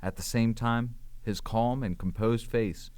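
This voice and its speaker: A man's voice: mid-range voice, steady tone, measured pace, darkish tone, no echo. A man narrating an audio drama in a scripted storytelling style.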